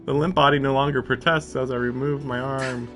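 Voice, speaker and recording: A man's voice: mid-range voice, flat delivery, a little fast, fairly bright tone, faint music behind. A man live-streaming a visual novel, reading it aloud in a mock-dramatic way and breaking off into sarcastic, sometimes profane asides. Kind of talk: live commentary mixed with dramatic read-aloud.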